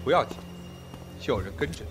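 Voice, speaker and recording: A man's voice: medium pitch, expressive, a little fast, over music, close to an everyday voice. Guy doing a bossy martial arts sect master, intense, voice jumps around a lot, costume audio drama. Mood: haughty, commanding. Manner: imperious, intense. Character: commanding, proud young male sect master, quick to alarm. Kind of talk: Chinese period audio drama acting.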